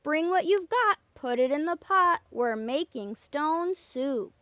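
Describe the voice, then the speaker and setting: A woman's voice: sits high, animated, slow, fairly bright tone, no room sound, voice pushed up, exaggerated voice. A woman voicing a hungry, boastful male traveler in a children's storybook, calling out in a gleeful sing-song way. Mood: excited chanting. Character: two hungry male travelers, cheerful boastful master cooks.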